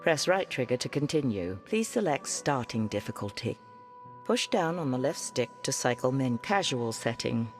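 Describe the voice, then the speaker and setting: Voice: mid-range voice, big pitch swings, moderate pace, faint music behind. A narrator reading scripted menu options and control instructions for a game.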